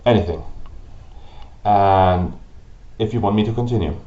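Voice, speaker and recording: A man with a German accent, whose first language is German, hosting a gaming channel and ranting slowly and haltingly about it to camera. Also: on the low side, dark tone. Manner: halting, with restarts and pauses. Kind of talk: frustrated rant to camera. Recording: roomy, dull lo-fi audio.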